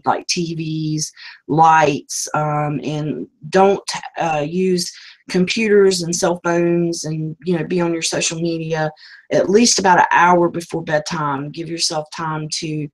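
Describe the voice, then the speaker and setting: A woman's voice: low voice, poor audio. A woman hosting a live business stream, rambling casually with many fillers and losing her voice. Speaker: host.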